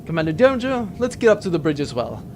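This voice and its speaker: A man's voice: a little high, big pitch swings, fast, noisy background, voice pushed down. A man voicing a brash, blustering, dim spaceship captain with an informal manner in a comic sci-fi audio drama. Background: music.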